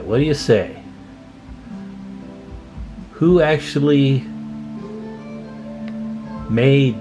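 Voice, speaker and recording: A man's voice: mid-range voice, low-quality recording. A man giving a casual, hands-on demonstration as a hobbyist video host, speaking informally and folksily to his viewers. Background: plucked guitar music.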